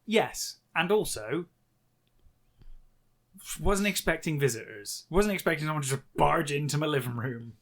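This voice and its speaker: A man with a slightly high voice co-hosts a book podcast. He talks through the story in a loose, conversational way, repeating phrases for emphasis. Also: lively intonation, normal speed, long pauses, fairly bright tone. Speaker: podcast co-host. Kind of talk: casual book recap chat.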